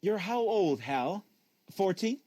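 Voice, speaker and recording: A man voicing an oddly formal, insistent male interviewer in an audiobook, probing, unctuous and deliberate. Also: high voice, big pitch swings, mellow, no room sound, voice pushed up, exaggerated voice.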